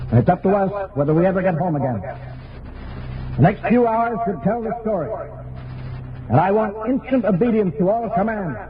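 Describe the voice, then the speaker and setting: An actor playing a stern, commanding naval spaceship captain in a radio drama, giving clipped military orders with authority. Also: male voice, sits high, expressive, moderate pace, pauses often, heavy background noise. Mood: stern address. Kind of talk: old-time radio drama acting. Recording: tinny narrow-band old radio recording, music behind.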